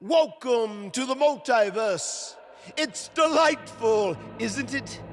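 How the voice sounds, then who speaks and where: An actor playing an eccentric, mystical wrestler with a grandiose, drawn-out way of talking in a video game cutscene. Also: male voice, very high voice, animated, a little hiss or hum, heavily acted. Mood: dreamy wonder.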